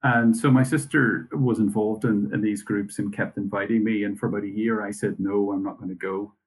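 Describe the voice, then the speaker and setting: A man from Northern Ireland with a Northern Irish accent, a guest telling his story in a measured, matter-of-fact way over a video call. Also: fairly low pitch, steady tone. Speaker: mission worker, guest. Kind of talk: conversational interview answers.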